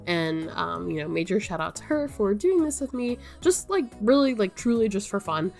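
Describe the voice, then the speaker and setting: Young woman yapping to her viewers, stuffy nose, nasal and kinda croaky from being sick, lots of ums. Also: medium pitch, sing-song, normal speed, darkish tone, faint music behind. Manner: rambling, full of ums and likes. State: stuffy-nosed and sick, voice wearing out. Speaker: gaming YouTuber. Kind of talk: casual chat to viewers.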